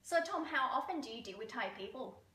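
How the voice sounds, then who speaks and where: A woman's voice: sits high, expressive, brisk, reverberant, loud music behind the voice. A woman interviewing a guest, asking questions casually with "like" fillers.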